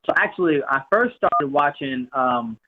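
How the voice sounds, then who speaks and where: A man's voice: medium pitch, measured pace. A young man from Jamaica, raised in Florida, calling in as a guest fighter and talking loosely, often repeating words. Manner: relaxed, rambling, repeats words. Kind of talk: phone-in interview. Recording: tinny phone line.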